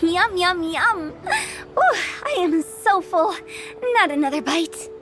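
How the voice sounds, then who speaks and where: A mischievous young funeral parlor director, teasing and theatrical. Her voice is very high and sing-song, with faint game music underneath. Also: expressive, takes it slowly, a bit bright, heavily acted. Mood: playful. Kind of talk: game cutscene voice acting.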